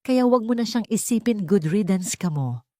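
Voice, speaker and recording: A woman's voice: mid-range voice, animated, moderate pace, dark tone, dry recording, studio quality, pitched above the performer's own voice, natural voice. A woman voicing a greedy, haughty older rich mother-in-law in a dramatic story, gloating and affected, with English mixed in. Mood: smug gloating.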